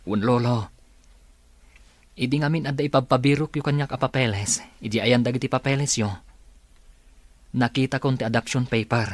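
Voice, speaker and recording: A man's voice: mid-range voice, steady tone, moderate pace, long pauses, no room sound, lightly acted. A young man with an Ilocano accent playing a cheerful, kind grandson in a radio drama. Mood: warm. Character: Lester, cheerful grandson back home.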